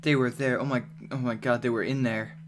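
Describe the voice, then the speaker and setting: A man playing a terrified homeowner in a horror radio drama, breathy, stammering and panicked. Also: mid-range voice, flat delivery, a little fast, a little hiss or hum, lightly acted.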